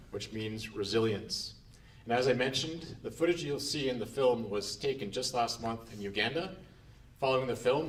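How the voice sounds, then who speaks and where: A man's voice: mid-range voice, far from the mic, low-quality recording. A man hosting a live film screening for a theatre audience, announcing in a friendly way. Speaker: event host.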